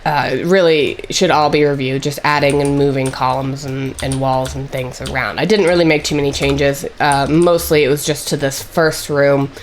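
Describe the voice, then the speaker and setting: A woman with a notably low voice, still getting over a cold, talks through a software tutorial as the instructor. She explains step by step in a measured way, often pausing on "uh" and "basically". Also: steady tone, slight background noise. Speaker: course instructor. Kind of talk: screen-recorded software tutorial.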